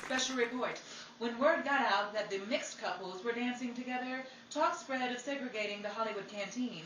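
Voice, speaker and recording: A woman's voice: on the high side, a bit bright. A woman hosting in a theatrical style, reading scripted gossip and news like a 1940s radio announcer. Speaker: host and announcer. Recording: roomy, distant recording with background noise.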